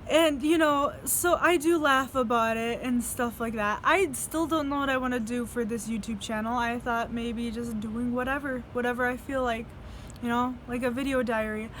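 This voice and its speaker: A woman's voice: a little high, fairly even intonation, moderate pace, fairly bright tone, slight background noise. A young woman telling stories in a casual video diary, rambling, swearing freely and laughing between sentences. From United States. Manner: rambling, profane, laughs often. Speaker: vlogger.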